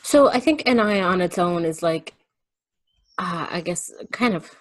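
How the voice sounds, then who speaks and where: Lower-pitched woman explaining her thoughts casually. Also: expressive, a little slow. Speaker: guest on a remote call.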